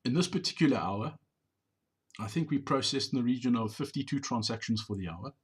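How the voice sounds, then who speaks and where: A middle-aged business owner giving a measured, explanatory voice-over on drive-thru footage, sometimes repeating words. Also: male voice, mid-range voice, animated, breathy edge.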